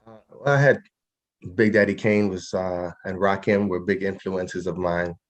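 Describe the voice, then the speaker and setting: A middle-aged man from New York with a deep voice answers as an interview guest. His pitch stays very flat as he reflects on his craft in unscripted talk. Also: moderate pace. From New York, of a Trinidadian family. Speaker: guest poet.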